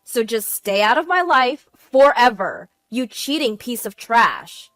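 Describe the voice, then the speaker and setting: A woman's voice: mid-range voice, big pitch swings, a little slow, dry recording, low-quality recording. Woman as cold, scornful betrayed wife. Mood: furious. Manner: cutting, scornful.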